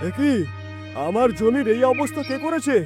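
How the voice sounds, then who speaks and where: A man playing a cruel, quick-tempered village landlord who scolds and orders people about in a cartoon horror story. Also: very high-pitched, lively intonation. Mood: furious, accusing. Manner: scolding, domineering, commanding. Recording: music behind.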